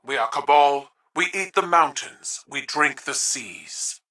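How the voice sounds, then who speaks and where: Forceful, stern alien commander. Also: male voice, a little high, expressive, takes it slowly, distant mic, full character voice. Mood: proud, solemn. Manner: formal, declarative, commanding. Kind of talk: video game dramatic dialogue.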